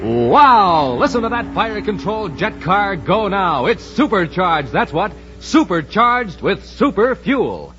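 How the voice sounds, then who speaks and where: A man announcing in 1950s radio serial style, theatrical and punchy, with an excited salesman's delivery. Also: high voice, unhurried, hardly any pauses, music in the background. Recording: dull, hissy vintage radio recording.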